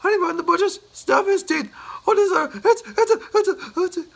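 A man's voice: fairly quick, creaky. A man voicing a timid, easily frightened little man who stammers and panics. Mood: terrified. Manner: stammering, shrill and jittery.

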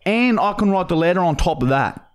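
A man playing a dodgy, pushy Australian bogan on a prank phone call, talking in halting, repetitive slang. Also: high voice, expressive, smooth. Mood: pleading, scheming. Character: dodgy, pushy young male bogan pestering for painkillers. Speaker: prank caller, podcast host. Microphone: close studio mic on boom arm.

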